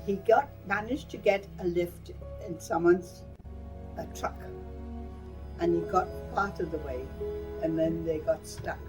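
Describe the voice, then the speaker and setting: A woman's voice: fairly low pitch, unhurried, music in the background, rough recording. An older woman from Burma giving a personal reminiscence in a plain-spoken, emphatic way. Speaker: interviewee recalling wartime life.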